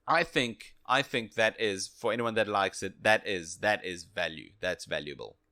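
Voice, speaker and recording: A man co-hosting a casual game review podcast, speaking in a halting, jokey way with frequent repeated phrases. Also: on the low side, lively intonation, pushed and bright. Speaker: podcast co-host.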